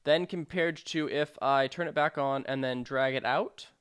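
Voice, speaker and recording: A man narrating a software tutorial in an informal, explanatory way with hesitant phrasing. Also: medium pitch, moderate pace, clear, resonant tone, dry recording. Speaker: sound design tutorial presenter.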